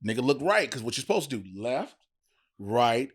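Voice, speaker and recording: A man co-hosting a podcast, telling stories in animated, slangy, casual banter from a studio. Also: mid-range voice, normal speed, breathy edge. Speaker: podcast co-host and stand-up comic.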